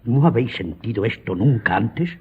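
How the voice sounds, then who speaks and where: An actor playing a grave, commanding doctor in a radio drama, questioning sternly in a raspy, breathy voice. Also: male voice, on the low side, animated, moderate pace. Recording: dull lo-fi sound.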